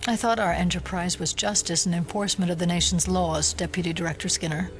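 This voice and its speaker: A woman's voice: fairly low pitch, steady tone, fast, faint music behind, close to an everyday voice. A woman playing a determined, sceptical FBI agent, formal and insistent, in an audio drama. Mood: urgent, probing.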